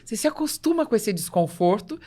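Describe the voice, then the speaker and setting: A woman's voice: medium pitch, sing-song, a little fast, mellow. A woman with a Brazilian Portuguese accent hosts a conversational business interview, speaking in an articulate, explanatory way. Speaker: podcast host.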